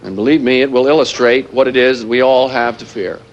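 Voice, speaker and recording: An actor playing a grave, earnest former weapons planner turned militant, delivering a deliberate televised statement in a realistic drama. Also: male voice, mid-range voice, steady tone, lo-fi sound, slight background noise, close to an everyday voice. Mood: grave, threatening. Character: grave male former weapons planner turned anti-nuclear hostage-taker.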